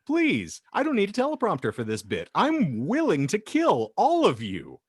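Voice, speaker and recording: A man's voice: high-pitched, wildly swooping pitch, moderate pace, husky, no room sound, clean studio sound, voice pushed up, full character voice. A man playing a despotic, contemptuous president, making pompous, theatrical speeches in an improvised comedy podcast bit. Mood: menacing, goading. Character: despotic ranting US president, male, gleefully contemptuous.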